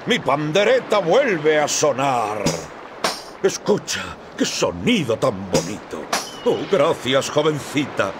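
A man's voice: fairly high pitch, big pitch swings, slow. Cartoonish sailor bandsman for a game: exclamatory, bumbling, very swoopy, music behind. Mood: jolly, excited. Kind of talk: animated video game acting.

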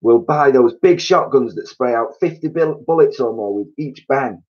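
A man voicing a spiteful old woman with a shrill, eager voice. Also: huge pitch swings, fast, muffled recording. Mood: gleeful.

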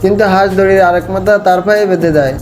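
A man's voice: high voice, steady tone, rapid-fire. A man narrating funny cartoon recaps in a slangy, mocking, exaggerated storytelling manner. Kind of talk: comic slangy scripted narration. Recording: voice-over with clear music behind.

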